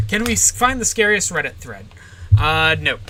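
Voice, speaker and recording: Bright, deadpan young streamer woman. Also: low-pitched, moderate pace, slight background noise, poor audio. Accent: general American. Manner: short, deadpan answers with frequent "uh" and "like". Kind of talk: casual livestream Q&A, answering chat.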